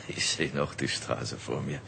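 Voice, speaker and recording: An extremely deep-voiced old tramp, gruff and ragged yet wistful. He grumbles and halts his way through radio drama dialogue, over clearly noisy ambience. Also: steady tone, heavily acted. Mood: wistful, reminiscing. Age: older. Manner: grumbling, halting, reminiscing.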